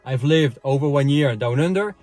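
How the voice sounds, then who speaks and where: A European man hosting his channel, giving a casual opinion monologue, talking in a conversational way that keeps checking in with "okay?" and filling pauses with "uh" and "you know". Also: medium pitch, darkish tone, dry recording, soft background music. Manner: conversational, frequent "okay?" tag questions and fillers. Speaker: channel host. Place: France.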